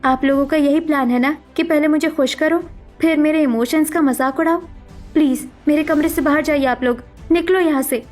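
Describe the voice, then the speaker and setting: A woman's voice: sits high, steady tone, moderate pace, darkish tone, soft background music, close to an everyday voice. A woman voicing a hurt, self-doubting young sister-in-law in a dramatic cartoon story, with a plaintive, defensive manner. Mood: angry.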